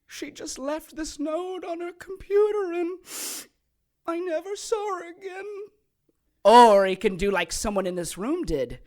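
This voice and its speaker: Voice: sits high, animated, unhurried, dark tone. A theatrical, gleefully sadistic mad sorcerer. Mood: manic mockery. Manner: taunting, theatrical, gleeful cackling. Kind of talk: audio drama acting, storytelling monologue.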